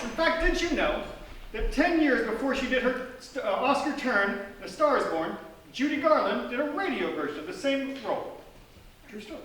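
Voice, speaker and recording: A high-pitched, very animated man announces a radio theatre show with showy comic flair. His bright, forceful voice rings through an echoey theatre. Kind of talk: scripted comic announcing to an audience. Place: small theatre stage before an audience. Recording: echoey live theatre, distant, faint noise.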